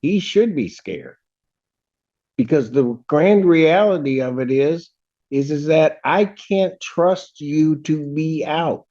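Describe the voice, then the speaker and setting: A man speaking as a judge from the bench, stern and emphatic, often halting and repeating himself. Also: fairly high pitch, lively intonation, warm tone, lo-fi sound. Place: courtroom.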